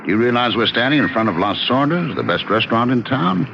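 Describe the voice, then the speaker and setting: On a dull vintage radio recording with music, a suave bookie, teasing and coaxing. His voice is low and gravelly, with a lively, flirtatious lilt made for radio drama. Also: fairly quick, background noise. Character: smooth, flirtatious male bookie, persistent and teasing. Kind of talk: old-time radio drama acting.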